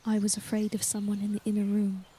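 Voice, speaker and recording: Woman acting a soft-toned, reserved young heroine. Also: mid-range voice, completely flat delivery, slight background noise, natural voice. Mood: quiet concern. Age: young adult. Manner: measured, earnest, deferential. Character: reserved, principled young woman in service, quietly firm. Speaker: actor in an audio drama. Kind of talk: period audio drama acting.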